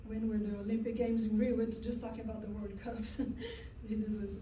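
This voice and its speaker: A woman's voice: fairly high pitch, level tone, moderate pace, a little hiss or hum. Brazilian woman presenting in an echoey hall. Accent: Brazilian Portuguese. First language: Portuguese. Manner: conversational, with frequent fillers and soft laughs. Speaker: language teacher presenting at a conference. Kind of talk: conference presentation. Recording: echoey hall recorded from a distance.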